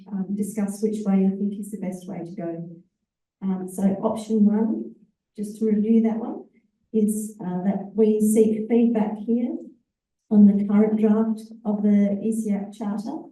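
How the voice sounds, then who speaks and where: A woman, an executive officer, giving a relaxed conference presentation with fillers and self-corrections. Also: medium pitch. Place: large conference hall. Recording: echoey hall, voice far from the mic.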